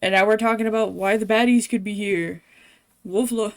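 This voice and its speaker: Casting: female livestreamer with an average-pitched voice, offhand and conversational, explaining in loose fragments. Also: steady tone, low-quality recording. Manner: informal, halting explanations. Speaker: game streamer. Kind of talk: casual livestream chat.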